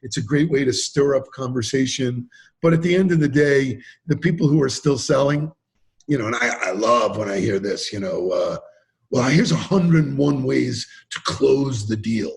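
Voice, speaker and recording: A man talking as a podcast guest in a casual interview, animated and emphatic, acting out quotes as he tells stories. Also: mid-range voice, moderate pace, gravelly. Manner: animated, emphatic storyteller who acts out quotes. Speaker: podcast guest, sales trainer.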